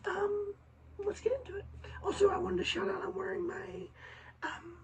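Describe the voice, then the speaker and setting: Very high, raspy, hoarse woman. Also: little pitch movement, darkish tone. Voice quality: raspy, hoarse after vocal surgery. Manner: halting, many ums and restarts. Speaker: vlogger. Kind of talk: casual personal update. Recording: slightly distant mic with faint hiss.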